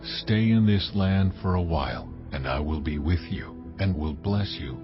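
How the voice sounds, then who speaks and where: The voice of god, deep and slow, solemn, commanding, big music behind, slightly dull sound. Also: male voice. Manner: solemn, measured. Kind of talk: dramatized scripture acting. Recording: dull recording under prominent music.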